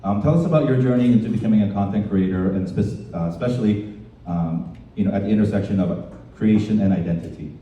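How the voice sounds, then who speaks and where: A man hosting a live panel discussion in a halting, informal way. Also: on the low side, muffled recording. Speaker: event host and panel moderator. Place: indoor department store event. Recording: roomy echoing event space, distant mic, faint noise.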